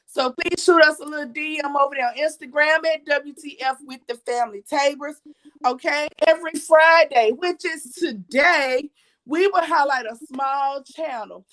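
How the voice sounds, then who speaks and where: Woman host, raspy and high-pitched, chatty and repetitive with her audience. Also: a bit bright, low-quality recording. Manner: chatty, repeats herself, reads viewer comments aloud. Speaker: livestream host. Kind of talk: casual livestream talk.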